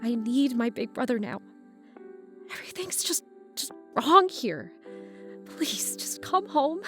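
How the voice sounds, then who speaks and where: Actress as hot-tempered young stepdaughter, high voice, swooping intonation, raw, sarcastic, audio drama. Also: female voice, very animated, takes it slowly, fairly bright tone, faint music behind, exaggerated voice. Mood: tearful pleading. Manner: sarcastic, confrontational, emotionally raw.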